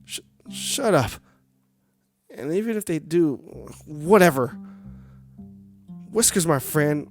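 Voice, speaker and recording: A man's voice: fairly high pitch, animated, slow pace, pauses often, pitched above the performer's own voice. A man voicing a sad, insecure male clown puppet who gets defensive, in a visual novel game. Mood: angry, hurt. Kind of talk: visual novel character reading. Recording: music faintly behind the voice. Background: music.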